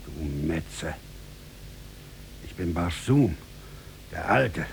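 A man's voice: low voice, expressive, extremely slow, creaky, exaggerated voice. A man playing a scheming, gloating old merchant villain in a theatrical 1950s German radio play. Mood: gloating, vengeful. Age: older. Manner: insistent, theatrical, with wheedling servility and gloating. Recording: noisy 1950s radio recording.

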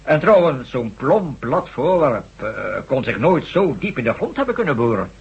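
An actor playing a hesitant scientist who stammers while explaining results in a radio drama. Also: male voice, medium pitch, very animated, moderate pace, husky, full character voice. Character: Professor Jackson, hesitant acoustics scientist. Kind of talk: 1970s radio drama acting. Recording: dull lo-fi radio recording.

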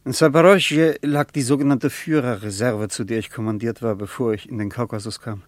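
A man's voice: medium pitch, fairly quick, no echo, close to an everyday voice. An actor playing a plain-spoken German man on his travels in a radio drama, talking in a conversational, somewhat hesitant way. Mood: wistful. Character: plain-spoken German male traveller, wartime veteran, reminiscing.

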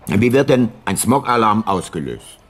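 An actor playing a shrewd, self-assured older private detective in a radio play, speaking in a measured, dry and probing manner. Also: male voice, on the low side, sing-song. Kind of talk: radio play narration and dialogue.

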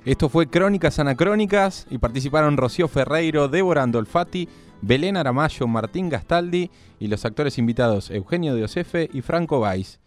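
Higher-pitched Argentine male radio announcer. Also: lively intonation, moderate pace, faint music behind. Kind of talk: scripted credits and sponsor reading.

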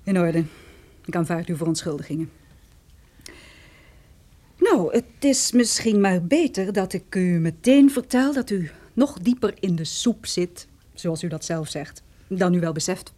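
Mellow-voiced, no-nonsense young lawyer. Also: female voice, on the low side, animated, measured pace. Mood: cool, official. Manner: businesslike, precise. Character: brisk, stern young female lawyer, no nonsense. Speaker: supporting actress. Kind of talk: radio detective drama acting.

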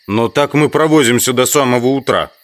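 An actor playing an educated, sceptical outsider in an audio drama, speaking in measured, literary phrases. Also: male voice, medium pitch, dry recording, lightly acted. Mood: casual, wry. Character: educated man, reflective, sceptical outsider trapped in sand village. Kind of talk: audio drama acting with first-person narration.

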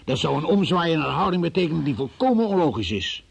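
An actor playing a relentless, methodical and sceptical male interrogator in a radio play, with a gravelly, husky voice. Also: a little high, big pitch swings, fast, full character voice. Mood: methodical, insistent. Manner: insistent, probing, methodical, sceptical. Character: relentless male interrogator questioning a young murder witness. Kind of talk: Dutch radio play acting.

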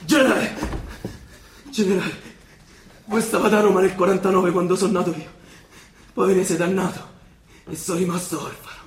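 An actor playing a wounded, fervent young volunteer soldier in a radio drama. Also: male voice, high-pitched, fairly even intonation, fairly quick, long pauses, close to an everyday voice. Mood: feverish, fervent. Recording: echoey stage recording with hiss.

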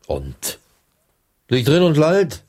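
An actor playing an exasperated, sarcastic circus director in a radio drama, with a husky voice. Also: male voice, a little high, huge pitch swings, drawn-out words, a little mellow, exaggerated voice. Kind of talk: 1980s radio drama dialogue.

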